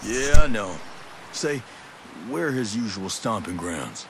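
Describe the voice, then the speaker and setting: An actor playing a steady, helpful special forces soldier with a husky voice in a video game. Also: male voice, fairly low pitch, a little slow, very noisy. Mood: steady, reassuring. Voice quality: husky, gravel-edged. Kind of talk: video game cutscene acting.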